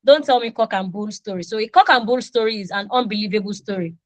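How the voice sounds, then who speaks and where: Lively Nigerian woman teaching English. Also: medium pitch, quick pace, no echo. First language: Yoruba. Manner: animated, acts out little dialogues with students. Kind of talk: online class lecture.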